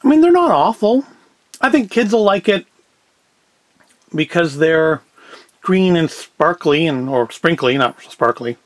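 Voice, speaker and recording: Man, forties, high-pitched for a male, dry close sound, wry rambling review chat. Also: expressive, measured pace, pauses often, dry recording. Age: 40s. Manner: wry, self-deprecating, rambling. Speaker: food review vlogger. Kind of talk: casual review chat to camera.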